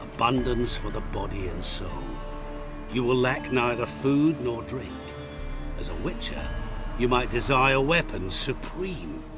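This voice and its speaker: A man playing a sly, enigmatic traveling merchant in a video game cutscene, speaking in a theatrical, teasing, deliberately paced way. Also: mid-range voice, expressive, over music, natural voice. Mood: persuasive, smug. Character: sly, enigmatic male traveling merchant who makes dark pacts.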